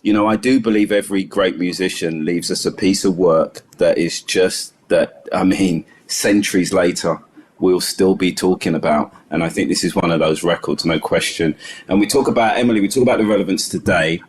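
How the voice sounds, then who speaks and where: A man's voice: low-pitched, normal speed, a little hiss or hum. A man hosting a panel discussion as DJ and broadcaster, chatty and eager in the way he talks. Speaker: host, DJ and broadcaster.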